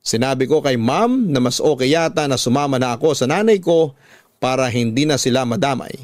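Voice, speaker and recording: A man's voice: mid-range voice, lively intonation, a little fast, no room sound, clean studio sound. A man with a Filipino accent reading a scripted, dramatic first-person life story as a radio storytelling host.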